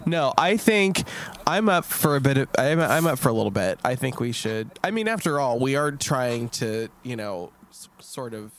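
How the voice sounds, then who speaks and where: A man's voice: medium pitch, big pitch swings, moderate pace. A man with a mid-range voice thinks aloud and hesitates as he plans moves in a tabletop game. Faint background noise runs underneath. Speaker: player.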